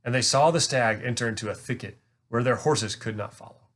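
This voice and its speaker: A man's voice: medium pitch, brisk, a bit bright. Man reading a storybook aloud. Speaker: reader. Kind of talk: storybook read-aloud narration.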